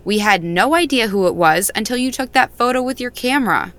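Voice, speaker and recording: A woman's voice: a little high, lively intonation, brisk, fairly bright tone. An actress playing a worried woman who co-runs a family farm, questioning someone earnestly in an audio drama.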